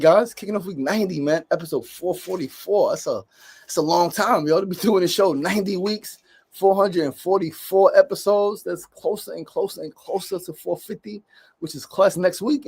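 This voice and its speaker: A man's voice: fairly high pitch, a little mellow. A man, hosting a casual livestream show, rambling and upbeat, tagging "right?" onto his points. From United States. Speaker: podcast host.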